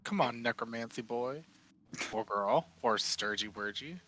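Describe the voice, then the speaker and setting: A man's voice: mid-range voice, a little slow, pushed and bright, poor audio. A man chatting and bantering casually as a player in a tabletop game. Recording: clear background noise with clicks.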